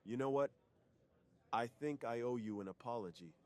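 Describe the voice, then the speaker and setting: A man acts as a secretive superhero off duty, with a mid-pitched voice. He is polite and earnest, confiding shyly and intimately in an ASMR roleplay. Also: moderate pace, darkish tone, close to an everyday voice. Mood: sincere, confessing.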